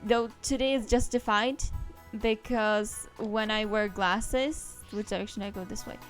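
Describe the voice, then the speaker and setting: Woman streaming a game, rambly chatty asides, mid pitch, faint game music behind. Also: fairly even intonation, measured pace. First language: Czech. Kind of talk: casual let's-play commentary.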